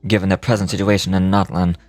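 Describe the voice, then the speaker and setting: A man playing a calm, imposing commander in a fantasy video game, speaking formal scripted dialogue in a measured, courteous way. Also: deep voice, over music, natural voice.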